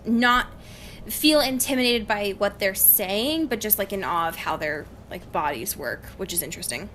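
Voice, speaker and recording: A woman's voice: mid-range voice, expressive, moderate pace, a bit bright. A young woman co-hosting a casual podcast book chat, wry and giggly, with informal slangy phrasing. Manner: giggly, laughs often while talking. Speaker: podcast co-host. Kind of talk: casual chatty book discussion. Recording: faint background noise.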